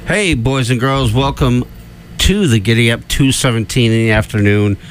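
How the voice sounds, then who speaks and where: A man's voice: medium pitch, moderate pace, slight background noise. A man with a Boston accent hosting a radio show, rambling and laughing as he corrects himself in casual banter. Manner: giggly, rambling, self-correcting. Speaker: radio host.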